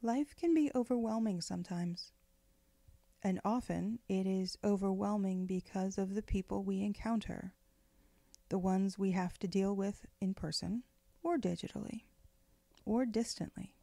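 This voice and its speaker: A woman leading a guided meditation in a gentle, unhurried, soothing way, with phrases often trailing off into breath. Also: mid-range voice, vocal fry, dry recording. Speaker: meditation guide, spiritual director.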